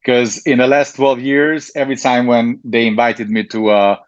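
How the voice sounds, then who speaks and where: Brief: Hungarian-accented man, guest in an interview, halting, full of ums, dry lo-fi audio. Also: mid-range voice, little pitch movement, no echo. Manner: hesitant, frequent ums and restarts. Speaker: network marketing leader, guest. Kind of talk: interview answers.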